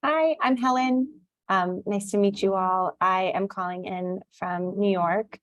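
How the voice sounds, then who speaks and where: A woman's voice: mid-range voice, unhurried, a bit bright, dry recording. A woman in her thirties presenting on a video call, friendly and chatty, who laughs easily. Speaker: webinar presenter. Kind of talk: casual video-call introductions.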